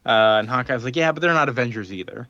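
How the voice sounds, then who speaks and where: Male podcast host for informal recap chat, mid-pitched, recorded dry and close, loosely paraphrasing dialogue. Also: lively intonation, fast, pushed and bright, no room sound, highs cut off. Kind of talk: casual comic book recap chat.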